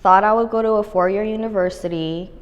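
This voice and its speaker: A woman's voice: mid-range voice, fairly even intonation, brisk, dull audio. A young woman from Los Angeles as an interview guest, laid-back and candid, swearing casually and using lots of likes and ums. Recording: long room reverb.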